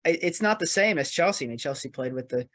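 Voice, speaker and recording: A man on a podcast panel talking football casually, reasoning things out in a measured, halting way. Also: on the high side, animated, fairly bright tone.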